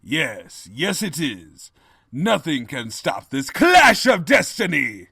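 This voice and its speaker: Evil pony king, high-pitched male voice, slow grandiose delivery, swooping intonation, audio drama villain. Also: huge pitch swings, vocal fry, sharp tone, husky, dry recording, studio quality, heavily acted. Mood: haughty, menacing. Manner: pompous, theatrical, grandiose. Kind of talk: dramatized fan fiction audio reading.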